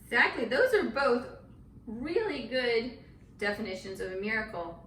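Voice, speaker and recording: A woman's voice: a little high, sing-song, moderate pace, sharp tone. A woman teaching children a Bible story in simple, encouraging words, with dramatic emphasis as she tells it. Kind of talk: animated storytelling and chat with children. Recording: roomy, distant mic, faint noise.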